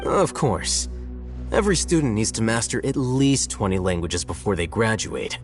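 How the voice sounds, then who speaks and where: A man voicing a calm, aloof male scholar speaking in a dry, matter-of-fact way. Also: lowish voice, normal speed, faint music behind. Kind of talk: video game dialogue.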